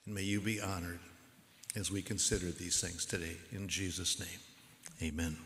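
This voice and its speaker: A man preaching a sermon as pastor in a church, with a measured, earnest delivery. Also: low voice, flat delivery, fairly bright tone, a little hiss or hum. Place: church sanctuary. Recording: echoey hall with long reverb.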